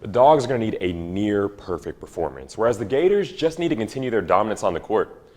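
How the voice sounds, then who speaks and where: Deep-voiced young male sports analyst. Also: big pitch swings, quick pace, a little mellow. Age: young adult.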